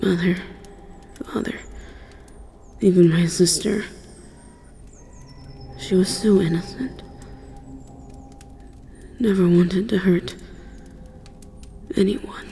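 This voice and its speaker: A performer voicing a remorseful dragon in a dramatic audio roleplay, speaking in a breathy, husky voice, haltingly and solemnly. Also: mid-range voice, steady tone, dark tone, a little hiss or hum. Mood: anguished, regretful. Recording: long cavernous reverb.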